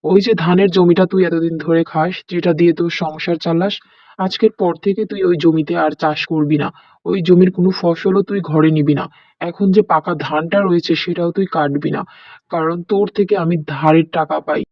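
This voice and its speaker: A man's voice: a little high, fairly even intonation, fairly quick, dry recording, recorded from a distance, lightly acted. A man playing a greedy village landlord in a melodramatic cartoon, with an insistent, repetitive way of talking. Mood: domineering. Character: greedy, bullying village landlord, cringing when caught. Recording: dull lo-fi cartoon audio.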